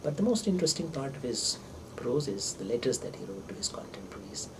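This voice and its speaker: An older man with an Indian accent and Urdu as his first language, giving an informal literary lecture in deliberate phrases with hesitations and repetitions. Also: medium pitch, sing-song. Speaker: English professor, visiting scholar. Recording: distant microphone with clear background noise.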